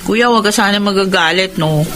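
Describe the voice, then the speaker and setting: A woman's voice: medium pitch, moderate pace, fairly bright tone, a little hiss or hum, natural voice. A woman playing a concerned, moralizing younger sister in an emotional, pleading radio drama style. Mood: reproachful. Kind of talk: Filipino radio drama acting.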